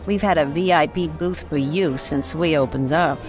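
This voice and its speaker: An actress playing a sassy, dangerous nightclub hostess in a noir radio drama, flirtatious and self-assured. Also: female voice, low-pitched, moderate pace, over music, natural voice. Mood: sultry, welcoming.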